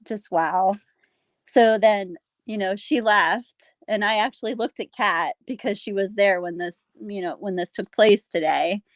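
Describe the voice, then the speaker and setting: A woman's voice: medium pitch, no echo. Emotional middle-aged woman, dry close sound. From Pennsylvania, USA. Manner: emotional, halting, soft sighs. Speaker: guest, local resident. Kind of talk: casual conversation.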